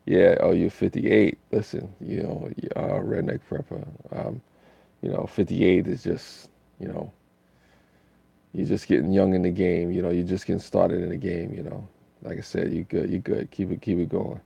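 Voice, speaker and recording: A man's voice: deep voice, little pitch movement, normal speed, dark tone, dry recording. A tired man, hosting a laid-back live stream and rambling through chat comments. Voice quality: raspy.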